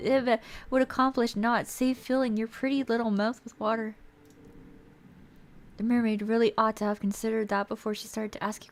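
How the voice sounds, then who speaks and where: Woman, fairly high voice, theatrical visual novel narration, expressive, playful, faint background music. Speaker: streamer reading a game aloud. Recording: music behind the voice.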